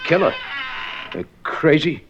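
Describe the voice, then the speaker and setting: An actor playing a defensive, indignant, streetwise crime suspect who protests in 1950s radio drama style. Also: male voice, a little high, expressive, measured pace, noisy background. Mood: defiant. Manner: agitated, protesting. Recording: muffled vintage radio broadcast.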